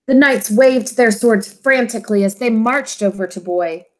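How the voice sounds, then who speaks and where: A woman teacher reading a children's storybook aloud, expressively and in simple, deliberate phrases for young listeners. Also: fairly high pitch, rough recording.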